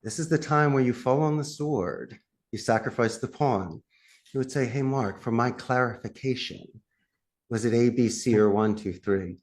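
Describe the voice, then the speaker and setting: Emphatic Philadelphia-accented salesman. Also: normal speed. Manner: emphatic salesman, swings pitch for emphasis, laughs often. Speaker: podcast guest, call center CEO. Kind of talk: animated interview answers.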